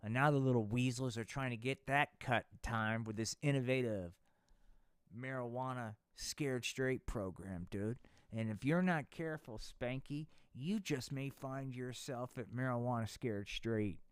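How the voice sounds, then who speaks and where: A man's voice: medium pitch, measured pace, clear, resonant tone, no echo, clean studio sound. A man hosting a live puppet show, narrating in a rambling, profane, slangy way. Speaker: puppeteer host.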